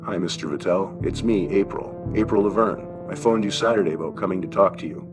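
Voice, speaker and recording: A man's voice: low voice, fairly quick, music in the background, lightly acted. Man as a shy, tentative teenage girl. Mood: polite, surprised. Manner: tentative, polite. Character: shy, polite, self-conscious teenage girl.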